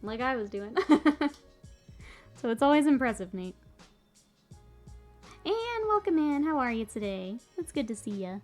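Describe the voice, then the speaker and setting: A woman's voice: high voice, sing-song, measured pace, long pauses. Thirties woman, northern US accent with a Texas lilt, chatty streamer painting and reading chat, light music behind. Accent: mixed northern US and Texas. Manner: chatty, reads chat aloud, laughs often. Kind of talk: casual livestream chat while painting.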